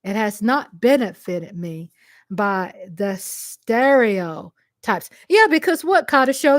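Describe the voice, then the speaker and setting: A Texan woman speaks to her viewers with passion and emphasis, and she repeats phrases to drive them home. Her voice sits a little high and she talks slowly and deliberately. The sound is dry and close, with no room echo. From Texas. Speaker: vlogger answering a commenter. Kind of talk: impassioned direct-to-camera monologue.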